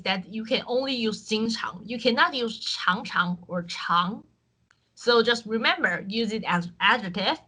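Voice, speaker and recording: Bright-voiced Taiwanese woman teaching Chinese. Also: fairly high pitch. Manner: clear, patient explaining with frequent fillers. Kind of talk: online language lesson with screen share.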